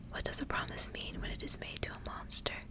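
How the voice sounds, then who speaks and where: A woman whispering the part of a brave, self-possessed young daughter from a storybook, calm and resolute. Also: a little fast, natural voice. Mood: quiet resolve.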